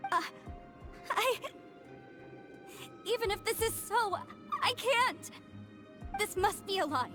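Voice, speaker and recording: A woman's voice: very high-pitched, lively intonation, takes it slowly, fairly bright tone, exaggerated voice. An actress voicing a devout woman who refuses to give up her faith, shaken but defiant, with game music underneath. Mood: defiant denial. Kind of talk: game cutscene voice acting.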